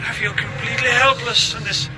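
A man's voice: very high-pitched, steady tone, brisk, a crowd in the background, noisy background. A man playing a helpless, whining captive spaceship crewman in a comedy sci-fi radio play, pleading plaintively. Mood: panicky, pleading.

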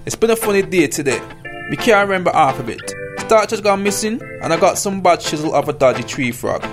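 Man doing a muddled, highish stoner crewman. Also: lively intonation, a little fast. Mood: laid-back. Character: laid-back, muddled Caribbean-style stoner crewman. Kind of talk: comedy radio play acting. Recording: music behind the voice.

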